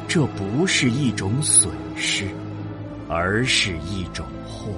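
Male narrator for sci-fi radio drama. Deep, solemn, deliberate delivery with wide dramatic swings. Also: expressive, loud music behind the voice. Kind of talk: dramatic scripted science fiction narration.

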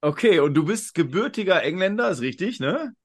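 A man's voice: high-pitched, big pitch swings, brisk. A man hosting a quiz podcast in a casual, talkative and rambling way. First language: German.

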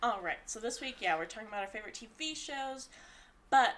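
A woman's voice: on the high side, lively intonation, quick pace, a bit bright, a little hiss or hum. A young woman with a slight lisp co-hosting a podcast in rambling, giggly casual chat. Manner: giggly, rambling, laughs often. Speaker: podcast co-host.

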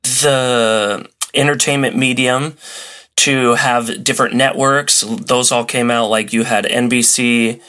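A man's voice: medium pitch, steady tone, slow pace, pushed and bright. A man speaking as a podcast guest, explaining things in a casual, colloquial and enthusiastic way. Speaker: podcast guest, old-time radio enthusiast. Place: remote video call.

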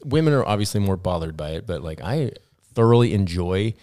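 A man's voice: deep voice, animated, brisk, warm tone, dry recording, clean studio sound. A man in his forties chatting casually on a podcast, blunt and joking, with a loose swearing manner. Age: 40s. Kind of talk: casual chat.

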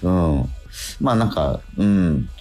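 A middle-aged man chatting casually as a radio host, in a hesitant, halting and self-doubting way. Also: sits low, lively intonation, warm tone. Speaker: radio host and stage actor.

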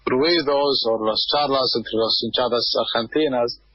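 A man from Kuwait, a guest on a radio interview, speaking hesitantly with many pauses while he searches for words. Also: medium pitch, no echo, lo-fi sound. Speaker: guest, football fan. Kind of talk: radio interview over a line.

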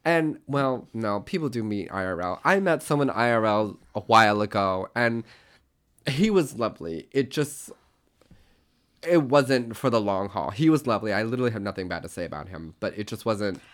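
A man in his thirties from Oklahoma, co-hosting a casual chat podcast, sassy and animated. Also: on the low side. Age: 30s. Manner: animated, sassy, quick asides. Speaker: podcast co-host.

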